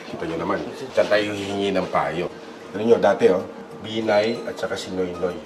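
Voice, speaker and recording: A man with a Filipino accent playing a vain, eager would-be president in a comic satire sketch, talking haltingly and seeking approval. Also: sits low, fairly even intonation, over music, natural voice. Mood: eager, scheming. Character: vain, eager mustachioed politician hoping to become president.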